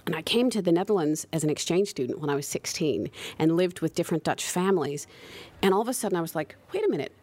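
An American woman in her forties speaks as an interview guest, her voice sitting a little low. She explains carefully and often checks in with a "right?" as she goes. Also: lively intonation, fast, hardly any pauses, darkish tone. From United States. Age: 40s. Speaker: guest researcher. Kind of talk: interview answers.

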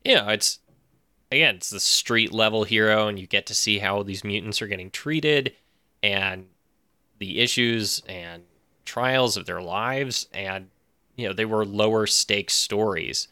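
A man's voice: on the low side, unhurried, sharp tone, clear, resonant tone, no echo. A man speaking as a podcast guest in casual chat, thoughtful, often restarting his phrases. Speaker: podcast guest, audio fiction creator.

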